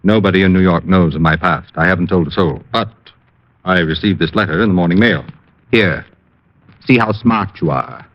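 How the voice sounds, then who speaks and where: A man's voice: low voice, normal speed. An actor playing a nervous, genteel middle-aged reformed swindler in an old radio drama, formal and hesitant in his speech. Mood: confiding. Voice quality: clicking new false teeth. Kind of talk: 1940s radio acting. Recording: dull vintage radio recording.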